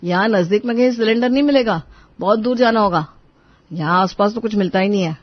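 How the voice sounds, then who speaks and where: A woman's voice: mid-range voice, fairly quick, no room sound, dull audio, lightly acted. A woman voicing a practical young husband and son in a cartoon story, explaining and placating. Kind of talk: Hindi moral-story cartoon dubbing.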